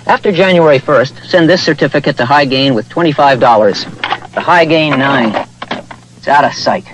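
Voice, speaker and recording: A man with an American accent giving a scripted product pitch in a 1970s television commercial. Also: moderate pace. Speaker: commercial announcer. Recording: noisy vintage TV ad audio.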